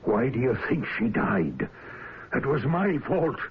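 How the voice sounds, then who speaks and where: Brooding, slow-spoken sea captain. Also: male voice, medium pitch, sing-song, breathy edge, exaggerated voice. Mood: anguished, brooding. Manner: brooding, theatrical, intense. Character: obsessed, lovelorn, haunted male ship's captain, stern and brooding. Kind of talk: old-time radio drama acting. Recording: muffled, hissy old radio broadcast recording.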